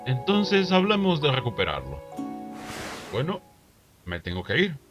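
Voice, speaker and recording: Man acting animated monster storyteller, dull recording. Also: mid-range voice, measured pace. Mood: hesitant, responsible. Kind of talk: Spanish fandub voice acting. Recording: dull lo-fi audio, music behind.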